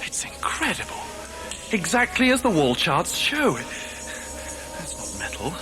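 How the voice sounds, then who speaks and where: A man as excitable professor, lightly high male voice, very lively intonation, earnest, halting, audio drama, music behind. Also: heavily acted. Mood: awed, excited.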